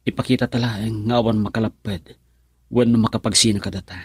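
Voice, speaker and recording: A man's voice: on the low side, fairly even intonation, quick pace, breathy edge, dry recording. An actor in an Ilocano radio drama playing an earnest, reassuring young man running away with his sweetheart.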